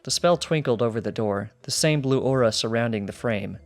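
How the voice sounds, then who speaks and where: A man's voice: mid-range voice, a little fast, faint music behind. A man reading fan fiction as scripted storybook narration. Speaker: audiobook reader.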